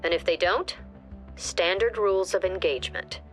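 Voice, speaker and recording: An actress playing a mission briefing officer in a game, giving orders in a crisp, clipped tone over soft music. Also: female voice, lowish voice, moderate pace, lightly acted. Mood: calm. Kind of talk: game cutscene voice acting.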